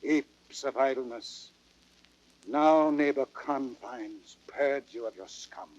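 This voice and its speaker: A man's voice: medium pitch, slow, a little mellow, lo-fi sound, close to an everyday voice. An actor playing a dying old king, bitter and grieving over his son, in declamatory classical Shakespearean radio drama style. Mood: anguished outrage. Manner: declamatory, with big swells and drops.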